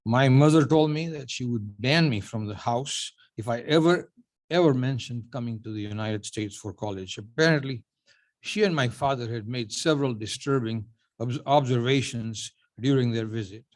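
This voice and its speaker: A man in his seventies from Uganda giving a prepared acceptance speech, telling his story in a measured way with dry, self-deprecating humor. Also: mid-range voice. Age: 70s. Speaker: award honoree giving a speech.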